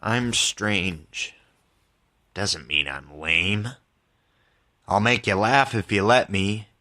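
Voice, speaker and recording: A man with a fairly low but bright, forward voice hosts a poetry podcast. He takes his time, with a very unhurried pace, and comes across as informal and candid, somewhere between reading verse and chatting about it. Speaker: podcast host and poet. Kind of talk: reading own poems with casual commentary.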